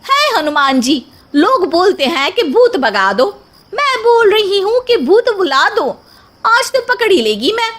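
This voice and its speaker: A woman acts out a cheeky young daughter-in-law for a family comedy story. Her voice is high and swoops expressively, delivered slowly and deliberately. She talks bossy, slangy and street-smart. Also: very animated, a bit bright, a little hiss or hum, exaggerated voice. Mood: determined. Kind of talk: animated Hindi story voice acting.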